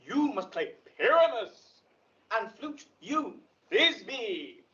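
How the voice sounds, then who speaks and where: An actor playing an officious organizer of amateur players, deliberate and emphatic, in a Shakespeare audio drama. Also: male voice, very high-pitched, big pitch swings, fairly bright tone, full character voice. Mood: instructing. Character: male carpenter directing an amateur play, officious organizer. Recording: dull lo-fi audio drama playback, distant.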